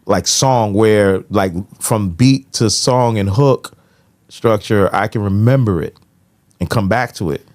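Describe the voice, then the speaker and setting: A man's voice: fairly low pitch, measured pace, a little mellow. A middle-aged man co-hosting a podcast, speaking in a laid-back, opinionated way with lots of fillers. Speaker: podcast co-host. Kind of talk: casual podcast chat.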